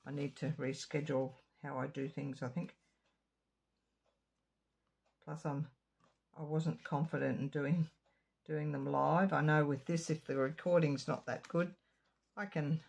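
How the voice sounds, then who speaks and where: A woman with a slightly croaky throat giving a chatty card-making tutorial, drifting into asides while she works. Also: low voice, fairly even intonation, normal speed, a little mellow. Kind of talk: casual craft tutorial. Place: home craft room.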